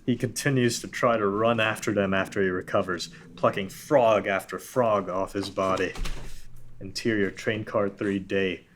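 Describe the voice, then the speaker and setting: Low-pitched man reading screenplay directions. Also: a bit bright. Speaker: narrator. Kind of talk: scripted narration, screenplay stage directions. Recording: background room noise.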